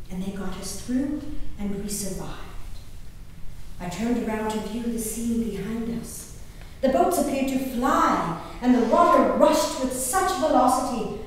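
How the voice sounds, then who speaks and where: A woman performing an earnest, theatrical diary monologue as an emotive eighteenth-century colonial gentlewoman, with formal diction. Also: high-pitched. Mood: awed excitement. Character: grieving, nature-loving 18th-century colonial gentlewoman reading her diary. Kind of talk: dramatic stage monologue reading of a diary. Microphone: distant room microphone. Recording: very echoey hall, distant mic, background hiss.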